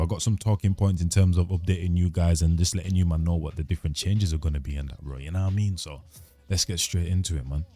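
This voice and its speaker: A man's voice: sits low, dark tone, dry recording. A young man, chatting casually to his channel in a slangy, laid-back, rambling way, recorded at a home desk mic. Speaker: channel host. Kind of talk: casual vlog chat to camera. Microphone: studio mic at a desk.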